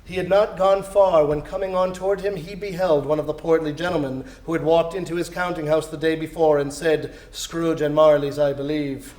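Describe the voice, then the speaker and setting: A man giving an expressive, theatrical dramatic reading of a Victorian story as a live storyteller. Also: a little high, a little fast, hardly any pauses. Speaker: storyteller, amateur stage performer. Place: indoor live reading, roomy. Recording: echoey room.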